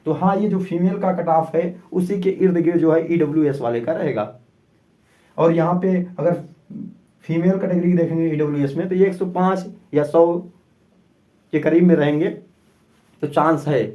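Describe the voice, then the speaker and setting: A man's voice: on the high side, a little mellow. A man giving an informal, slightly rambling explanatory talk to students as a coaching presenter. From India.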